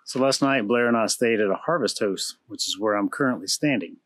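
A man's voice: fairly low pitch, fairly quick. Man in his thirties telling travel stories. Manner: relaxed storytelling with fillers and restarts. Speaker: travel vlogger. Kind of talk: casual chat. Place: RV interior, then outdoors at a campground.

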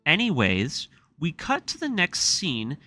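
A man's voice: on the high side, huge pitch swings, unhurried, bright, forceful tone, no room sound. A man narrating a story breakdown with commentary, in an earnest, emphatic, scripted storytelling manner. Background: background music.

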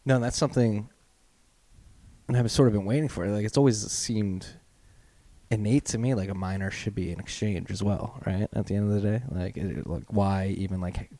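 A man's voice: lowish voice, steady tone, moderate pace, warm tone, no room sound. A man hosting a casual podcast interview, talking loosely with lots of fillers. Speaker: podcast host.

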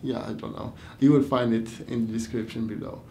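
A man in his twenties, an English teacher, doing a playful classroom dialogue in a meeting room, swinging from loud mock outrage to quiet. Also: medium pitch, little pitch movement, fairly quick, vocal fry, slight background noise. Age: 20s.

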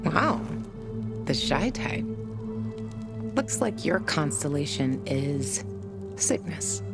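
Low, slow, guarded woman fighter. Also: sing-song, over music, heavily acted. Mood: wry, playful. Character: tough, guarded woman fighter, wry and bitter. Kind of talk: intimate cinematic game dialogue.

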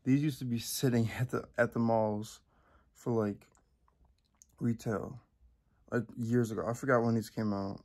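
A man's voice: mid-range voice, steady tone, a little slow, pauses often, darkish tone. A young man talking casually to his viewers, rambling with lots of fillers and restarts. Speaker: vlogger. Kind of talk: casual vlog chat.

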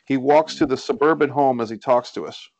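A man's voice: mid-range voice, fairly quick, creaky, a little mellow, rough recording. A man reading stage directions as scripted narration at a screenplay table read.

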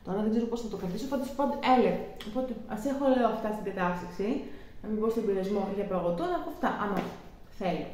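Young woman vlogger, casual rambling haul chat, boomy room with background noise. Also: dark tone, heavy reverb. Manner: chatty, rambling, shows each item. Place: living room, seated on a sofa.

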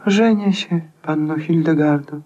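A man's voice: on the high side, unhurried, dark tone, lo-fi sound. An actor playing a grandiose, moonstruck eccentric man with theatrical declamation in a 1950s radio play. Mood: solemn.

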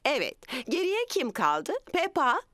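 A woman voicing a kind cartoon animal schoolteacher, encouraging and expressive. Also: sits high, a little slow, heavily acted. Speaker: teacher. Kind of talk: animated cartoon dub acting.

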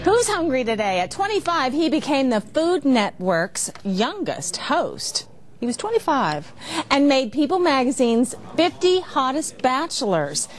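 Woman, morning TV host, chatty, teasing, high voice, very animated pitch, studio kitchen set. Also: unhurried. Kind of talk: TV morning show banter. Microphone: lapel mic.

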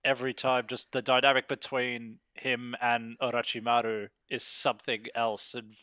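Clear-voiced man in casual, rambling chat. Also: medium pitch, flat delivery, moderate pace, sharp tone, dry recording. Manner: self-deprecating, joking, rambling. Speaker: interview guest, amateur voice actor.

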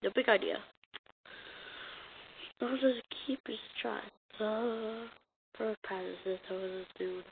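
A woman reading a comic dub as an amateur voice actor, acting out the dialogue in a halting, stumbling way on a muffled, noisy recording. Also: mid-range voice, vocal fry. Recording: muffled lo-fi recording with noise and clicks.

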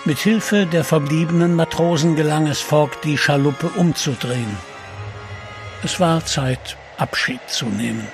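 A man narrates a dramatic adventure story. His slightly high voice moves slowly and solemnly, with faint music underneath. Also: gravelly.

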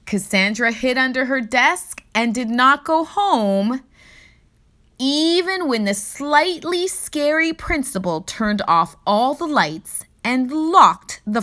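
A woman narrates a children's storybook in a high, bright voice. She reads slowly and deliberately, giving phrases theatrical emphasis. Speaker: storyteller reading a children's book aloud. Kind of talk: expressive scripted narration for children.

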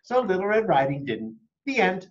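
An American man in his forties reading a children's storybook aloud with animated expression, recorded on a camera close by. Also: high-pitched, moderate pace, lo-fi sound. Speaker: storybook reader for a school.

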